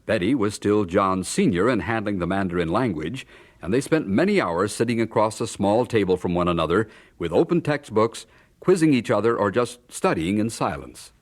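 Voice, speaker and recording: A man's voice: fairly low pitch, lively intonation, moderate pace. A man with an American accent reading scripted narration as the narrator of a radio drama.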